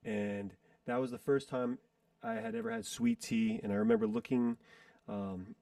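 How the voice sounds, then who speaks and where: A man's voice: mid-range voice, sing-song, creaky, mellow, no room sound. Man in his forties, set back from the mic. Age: 40s. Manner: rambling, reminiscing, frequent pauses to recall. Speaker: guest musician and entrepreneur. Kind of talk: casual interview storytelling. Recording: distant dry mic.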